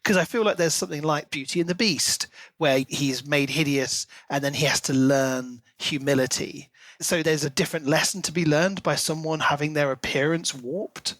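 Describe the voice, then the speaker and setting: A man's voice: on the high side, moderate pace, fairly bright tone, no room sound. A man co-hosting a podcast, talking through a book in a casual, discursive way and slipping into little acted-out quotes.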